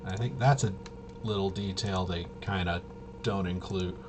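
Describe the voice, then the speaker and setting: A man hosting a tabletop game walkthrough, thinking aloud in a casual, halting way and muttering to himself. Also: low voice, steady tone. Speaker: host of a tabletop RPG video. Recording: noisy room, clearly audible background noise.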